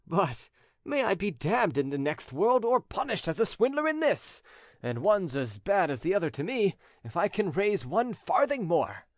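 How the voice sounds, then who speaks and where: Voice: medium pitch, very animated, normal speed, no room sound, studio quality, voice pushed up. A narrator voicing a proud, scheming male French notary who feigns innocence, in an audiobook story. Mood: exclaiming.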